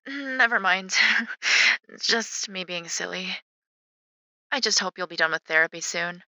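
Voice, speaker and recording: A woman's voice: lowish voice, animated, unhurried, sharp tone, no room sound, full character voice, rough recording. An actress playing a supportive, chatty young woman, a protective best friend, in a naturalistic audio drama. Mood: uneasy, concerned.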